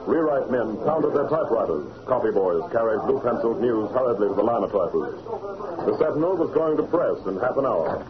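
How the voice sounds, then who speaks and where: A man's voice: medium pitch, big pitch swings, a little fast, crowd noise. A man with an American accent giving emphatic, declamatory narration as the announcer of an old-time radio drama. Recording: muffled old radio broadcast, crowded background.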